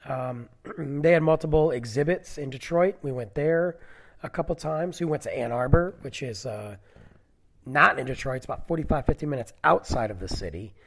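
A man's voice: medium pitch, moderate pace, gravelly, fairly bright tone, husky. A man in his forties, stuffy from a cold, chatting casually on his own and rambling through stories with lots of fillers. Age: 40s. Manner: rambling, digressive, many fillers. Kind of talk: casual solo chat.

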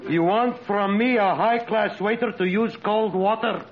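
High-pitched, pompous waiter. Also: male voice, heavy background noise. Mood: indignant, haughty. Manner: pompous, mangled word order, deliberate. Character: pompous, dim, high-class immigrant waiter, middle-aged or older man. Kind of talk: 1940s radio comedy acting. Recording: dull old radio recording, laughing studio audience.

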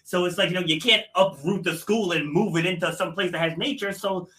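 A male podcast host with a very bright, forceful voice and a slightly high pitch. He presses his points hard and repeats key phrases to drive them home. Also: a little fast. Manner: emphatic, repeats phrases for effect. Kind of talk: panel discussion wrap-up.

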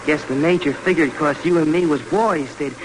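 An actor in an old-time radio drama playing a chatty, wistful young expedition cook with folksy, rustic speech. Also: male voice, a little high, moderate pace, noisy background, lightly acted. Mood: dreamy, chatty. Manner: folksy, rustic colloquial talk.

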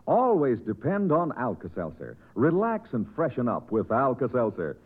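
A man's voice: medium pitch, huge pitch swings, normal speed, narrow, tinny audio. A man announcing a commercial in a 1950s radio advertising style on an old recording.

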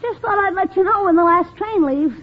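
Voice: very high voice, fairly quick. A performer playing a cheeky, wisecracking young American nephew in an old-time radio comedy. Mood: wry, nagging. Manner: cheeky, wry. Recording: tinny, noisy old radio recording.

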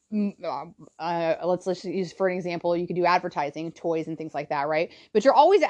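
A woman in her thirties hosting a solo personal-development podcast, chatty and blunt, often checking in with her listeners. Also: medium pitch. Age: 30s. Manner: chatty, keeps checking in with "right?" and "all right?"; blunt and a little profane. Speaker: podcast host.

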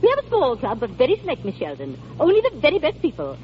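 High quick female voice, snobbish bossy matron, pompous, old radio drama, dull sound. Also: huge pitch swings, a little hiss or hum. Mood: gushing, self-important. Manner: gushing, pompous, scolding. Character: snobbish, pompous, bossy society clubwoman, a grandmother. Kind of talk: 1940s radio acting.

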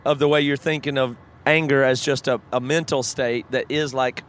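A man's voice: medium pitch, steady tone, moderate pace, fairly bright tone. A man co-hosting a podcast in casual chat, thoughtful and frank, restarting his sentences as he works through ideas, with an occasional curse. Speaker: podcast co-host.

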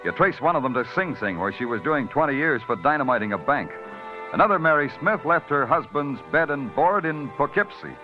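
An actor playing a hard-boiled police detective who narrates in a dry, wisecracking way in an old radio drama. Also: male voice, a little high, lively intonation, brisk, lightly acted. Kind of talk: 1940s radio acting. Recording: dull old radio recording with music.

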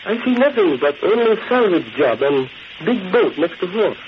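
An actor playing a loyal valet and driver in 1940s radio style, in clipped broken English, puzzled and literal. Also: male voice, high voice, big pitch swings, moderate pace. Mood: puzzled, deferential. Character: loyal deferential male valet and driver, foreign. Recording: tinny, noisy old radio recording.

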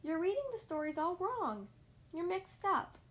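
A woman's voice: very high voice, very animated, unhurried, a bit bright, pitched above the performer's own voice, heavily acted. A woman voicing an anxious little boy in a storybook, speaking in a pleading, childlike way. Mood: indignant. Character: anxious little boy, sulky but brave.

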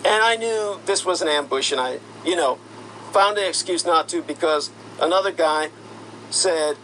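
High-voiced man ranting indignantly. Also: normal speed, slight background noise, poor audio. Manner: indignant, accusatory, rising to shouty outbursts. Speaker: commentator. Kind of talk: monologue rant.

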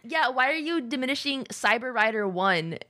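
A woman's voice: high voice, expressive, moderate pace, pushed and bright, no echo. A woman co-hosting a casual streamer podcast, bantering in slang and swearing with playful indignation.

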